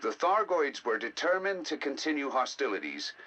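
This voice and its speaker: An actor playing a scholarly, intrigued scientist who narrates scripted game audio logs in a deliberate, theatrical, musing way. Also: male voice, medium pitch, very animated, sharp tone, slight background noise. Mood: grave, analytical. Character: scholarly male scientist, intrigued by ancient alien data. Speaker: researcher narrating decoded alien history logs.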